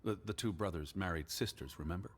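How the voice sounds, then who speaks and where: An actor playing a weary, hesitant American naturalist of the 1920s in a video game, speaking in a halting, subdued way. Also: male voice, a little hiss or hum, natural voice. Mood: hesitant, somber. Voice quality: low, husky.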